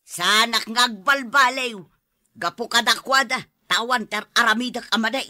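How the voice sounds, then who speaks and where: A woman's voice: on the high side, moderate pace, bright, forceful tone, no echo, clean studio sound. A woman playing a stubborn, complaining, ailing old mother in a radio drama, in a plaintive way. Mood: aggrieved. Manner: plaintive, obstinate.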